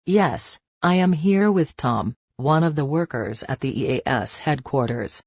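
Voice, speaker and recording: A woman's voice: extremely low pitch, expressive, unhurried, darkish tone, husky, no room sound, clean studio sound, exaggerated voice. A woman playing a polite field reporter, conducting a scripted news interview. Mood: polite, businesslike.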